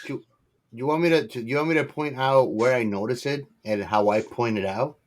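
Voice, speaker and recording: A man's voice: medium pitch, normal speed. A man on a podcast panel explaining his view of a film in an emphatic, casual way.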